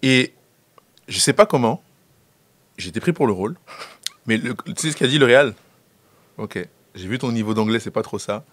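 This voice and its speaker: A man's voice: mid-range voice, lively intonation, moderate pace, long pauses, fairly bright tone, dry recording. A young man from the Central African Republic with a Central African French accent, a film director telling stories as an interview guest, laughing often and tripping over repeated words. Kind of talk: casual interview chat.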